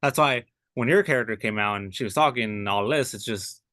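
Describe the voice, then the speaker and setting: Bright, smooth-voiced young male host. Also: mid-range voice, brisk, no echo, clean studio sound. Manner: rambling questions with many "like" fillers. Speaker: podcast host. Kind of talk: casual interview questions.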